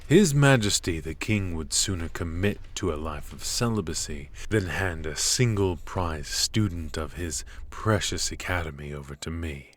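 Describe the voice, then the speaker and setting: A man's voice: sits low, expressive, normal speed, hardly any pauses. A man voicing a cold, strict royal professor of magic, stern and clipped, with dry, condescending remarks in an ASMR roleplay.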